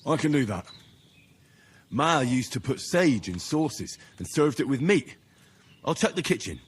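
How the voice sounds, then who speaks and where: A man's voice: mid-range voice, big pitch swings, measured pace, slightly rough. An actor playing a young man, wounded and earnest, who speaks politely and plainly. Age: young adult. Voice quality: earnest, courteous. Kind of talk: video game dialogue.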